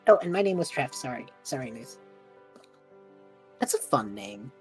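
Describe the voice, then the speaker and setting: Woman playing an awkward, low-voiced young male time traveller. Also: big pitch swings, moderate pace, a little mellow, faint music behind. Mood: awkwardly friendly. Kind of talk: improvised tabletop role-play.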